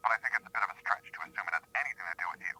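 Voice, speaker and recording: A man's voice: low-pitched, very fast, noisy background, natural voice. A man acting as a skeptical, rational sheriff's office worker and concerned friend, speaking bluntly but caringly in a naturalistic horror audio drama. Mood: exasperated, rational.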